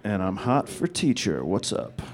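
Low-voiced male panel guest, dry and deadpan, monotone. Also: measured pace. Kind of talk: audience Q&A answers.

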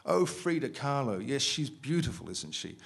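A man's voice: medium pitch, big pitch swings, normal speed, vocal fry, pitched above the performer's own voice. A man reading the part of a cool, sardonic woman customer with a masculine voice in a story. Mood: cold, severe. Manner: sardonic, gently mocking.

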